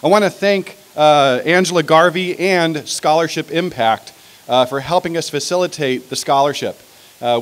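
A middle-aged American man hosting an event, giving an informal introduction into a podium microphone outdoors. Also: on the high side. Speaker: event host. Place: outdoor podium on building steps. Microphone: stand microphone at podium.

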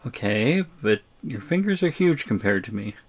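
A man's voice: on the low side, very animated, moderate pace. A man playing a bewildered, exasperated shrunken student in an audio roleplay, stammering and incredulous. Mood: exasperated, pleading. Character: bewildered, exasperated shrunken male student, three inches tall.

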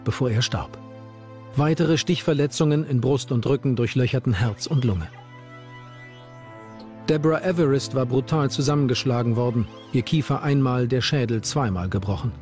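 A man narrates a documentary from a script at a medium pitch. Music plays behind his voice. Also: a little mellow.

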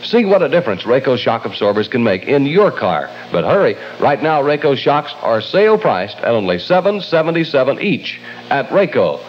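A man's voice: medium pitch, very animated, moderate pace. An American man working as a radio show host, announcing and reading ads in 1960 DJ style. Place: radio studio. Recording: lo-fi 1960 AM radio aircheck, faint hum.

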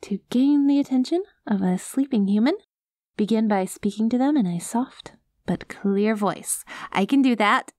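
A woman playing a cute, earnest, easily flustered young alien girl in a soft-spoken ASMR roleplay. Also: a little high, normal speed, no echo, studio quality, natural voice. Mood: careful reading aloud. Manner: earnest, flustered, talks to herself. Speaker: audio roleplay performer.

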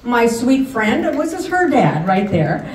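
Middle-aged woman, slightly high voice, chatty memorial remarks, distant mic in a roomy hall. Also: sing-song, a little slow. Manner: warm, chatty, rambling with fillers. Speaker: family host of memorial. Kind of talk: memorial speech. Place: large event hall, indoors. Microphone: handheld mic through room speakers. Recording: far-off echoey hall, faint noise.

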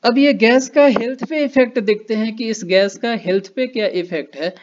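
Guy talking thru slides, high smooth voice, kinda muffled lo-fi audio. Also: moderate pace, slight background noise. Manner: loose conversational explaining with fillers and restarts. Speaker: educational channel presenter. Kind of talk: explanatory voice-over over slides. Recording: dull lo-fi voice-over.

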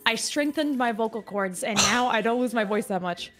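A woman streamer chatting in bubbly, quick bursts with friends during a game. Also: on the high side. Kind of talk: casual gaming chat.

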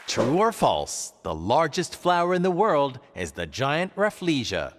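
A man voicing an upbeat animated quiz show host, with theatrical, emphatic scripted announcing. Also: on the high side, a little slow. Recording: large hall reverb, faint crowd noise.

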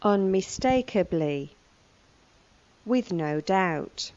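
A woman's voice: mid-range voice, expressive, very slow, darkish tone, dry recording, muffled recording. A woman giving scripted lesson narration, reading vocabulary and a news report. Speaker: language lesson presenter.

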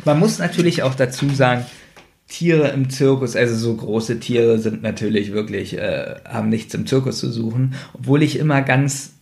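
A man's voice: mid-range voice, normal speed, gravelly, darkish tone. A man co-hosting a podcast, chatting casually in a back-and-forth discussion. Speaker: podcast co-host.

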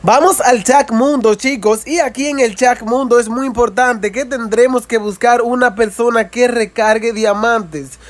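A man's voice: very high-pitched, steady tone, unhurried, hardly any pauses. A man narrating a casual mobile game tutorial, explaining step by step with frequent repetition and informal asides to viewers. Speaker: gaming video creator.

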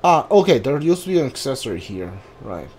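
A man's voice: medium pitch, sing-song, a little fast, a little mellow, husky. A man giving casual commentary on a game he plays, often muttering questions and asides to himself. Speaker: gamer streaming a blind playthrough. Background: game music.

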